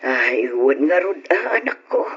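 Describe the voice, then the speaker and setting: A woman's voice: deep voice, sing-song, unhurried, slightly rough, heavily acted. A woman playing a devout, consoling elderly mother in an old-time Ilocano radio drama, speaking earnestly and sorrowfully. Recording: muffled, noisy old radio recording.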